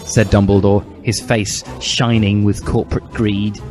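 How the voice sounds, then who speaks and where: Guy narrating a story super dramatically, lowish voice, solemn, music going behind. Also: level tone, measured pace, darkish tone. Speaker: narrator, reader. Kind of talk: dramatic reading of a comic story.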